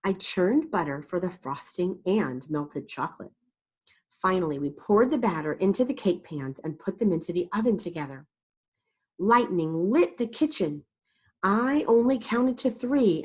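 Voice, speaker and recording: A woman's voice: on the low side, lively intonation, measured pace, a little mellow, slightly rough. A woman storyteller reading a picture book aloud expressively and dramatically for young children. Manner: dramatic, explains to young listeners.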